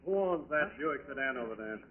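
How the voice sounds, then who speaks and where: An actor playing a terse, suspicious American police officer in a 1940s radio drama. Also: male voice, mid-range voice, lively intonation, brisk, close to an everyday voice. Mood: suspicious, firm. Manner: curt, businesslike. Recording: thin phone-like 1940s radio recording.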